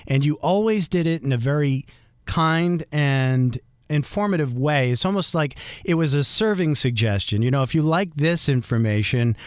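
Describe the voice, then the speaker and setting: A man with a baritone voice hosting a podcast interview, asking polite, thoughtful, winding questions. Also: moderate pace, smooth, no echo, studio quality. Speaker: podcast host.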